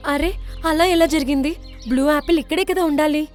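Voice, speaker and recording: Woman voicing a high-pitched caring mother bird. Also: steady tone, brisk, close to an everyday voice. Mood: puzzled, upset. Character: caring, sensible mother bird, firm but kind. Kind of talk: children's cartoon story dubbing. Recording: background music under the voice.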